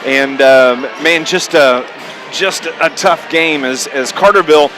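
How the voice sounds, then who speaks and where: A man's voice: on the high side, a little slow, music in the background, poor audio. A man hosting a live sports radio pregame show as play-by-play announcer, talking in a conversational, folksy way. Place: corner perch in a school gymnasium. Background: gym ambience, bouncing basketballs.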